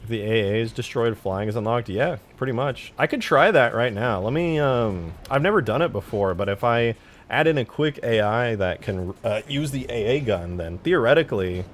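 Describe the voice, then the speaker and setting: A man's voice: mid-range voice, lively intonation, moderate pace. A man live streaming as he works on a game mod, chatting casually with viewers and muttering his steps aloud in fragments. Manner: thinks aloud in short fragments, muttering to himself while working. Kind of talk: casual live stream chat while working.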